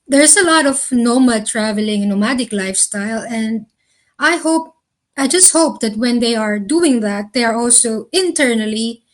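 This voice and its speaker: A woman answering interview questions in a thoughtful, earnest way, with slow, deliberate phrasing. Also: on the high side. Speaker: interview guest.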